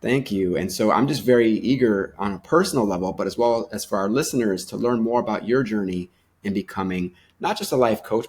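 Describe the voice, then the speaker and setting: Low-voiced male podcast host. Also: darkish tone. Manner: scripted-sounding intro, then easygoing and earnest. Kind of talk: interview hosting.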